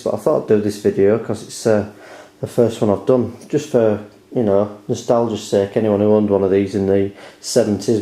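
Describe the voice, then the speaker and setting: A British man with a fairly low, soft-edged, mellow voice talks through a hands-on demonstration. He is informal and unscripted, hesitating and repeating words as he goes. The sound is roomy and echoing, recorded indoors at home. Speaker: video host showing a repair.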